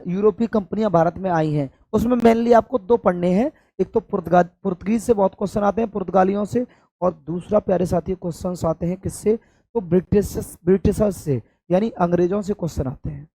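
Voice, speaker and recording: A hoarse man from India, teaching an exam-prep lesson and stressing points by repeating them. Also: high voice, moderate pace, dark tone, no room sound. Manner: addresses students affectionately, emphatic repetition. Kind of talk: classroom-style exam coaching lecture.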